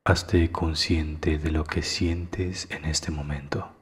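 A man narrating a guided meditation in a hushed, soothing, deliberate way with long pauses. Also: very deep voice, steady tone.

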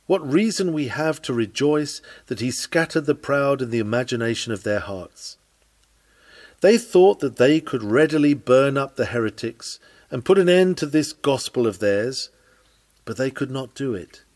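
A man's voice: medium pitch, expressive, normal speed, darkish tone. A man reading an old-fashioned sermon aloud in an earnest, declamatory preaching manner. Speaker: sermon reader.

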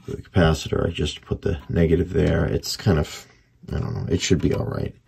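Very deep, creaky-voiced man. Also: level tone, a little mellow. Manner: unhurried, thinking aloud in short halting phrases. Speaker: hobbyist radio repairer, video host. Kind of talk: casual hands-on repair commentary. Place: indoor workbench.

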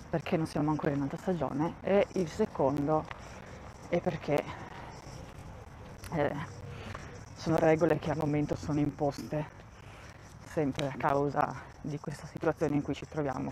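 A woman's voice: low voice, fairly even intonation, moderate pace, pauses often, noisy background. A woman giving a casual walking tour of a campground, thinking aloud and often restarting her sentences, recorded on a handheld phone outdoors. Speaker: vlogger touring a campsite.

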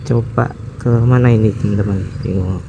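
A man's voice: on the low side, fairly even intonation, vocal fry, lo-fi sound. A man, narrating his motorcycle ride casually, musing aloud and wondering where to go. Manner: unhurried, musing aloud, chuckling. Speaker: motovlog host. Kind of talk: casual narration while riding. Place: outdoors on a motorcycle in city traffic. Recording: street traffic noise behind voice. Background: traffic and engine noise.

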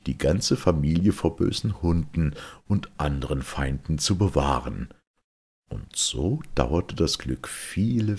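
A man narrates a fairy tale in an extremely low, very husky voice. He reads slowly and deliberately, shaping the story with expression. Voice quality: raspy, gravelly.

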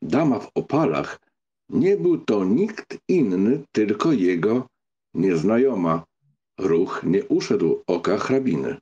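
Guy narrating an old novel audiobook, mellow husky voice, really expressive. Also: lowish voice, slow pace, lo-fi sound.

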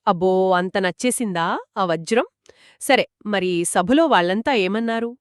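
A woman's voice: mid-range voice, a little slow, clear, resonant tone, no echo, studio quality. A woman co-hosting a children's story, asking questions and reacting with curiosity in a conversational style. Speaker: co-host listening and asking questions.

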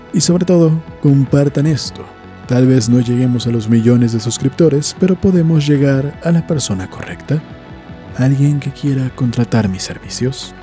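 Dark-voiced man narrating scary stories. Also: medium pitch. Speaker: horror story narrator and channel host. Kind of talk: scripted dramatic narration. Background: music.

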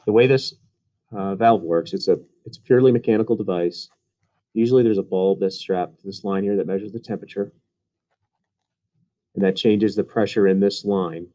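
A man's voice: sits low, dark tone. A man casually explaining technical diagrams in a voice-over on slides, with frequent ums and uhs. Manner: casual, uses fillers. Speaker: HVAC instructor.

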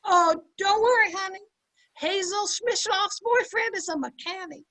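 A woman's voice: very high voice, measured pace, sharp tone, full character voice. An older woman playing a brassy, sardonic housemaid in an amateur old time radio sitcom, with slangy, punchy delivery. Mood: wisecracking. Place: indoor room at home, video call. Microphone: computer mic on a video call.

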